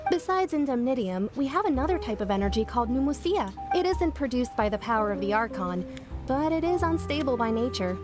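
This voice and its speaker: A woman's voice: a little high, expressive, fairly quick, natural voice. A woman playing an earnest young workshop worker in a video game, speaking in an explanatory way. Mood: helpful. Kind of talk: video game character acting. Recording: game music underneath.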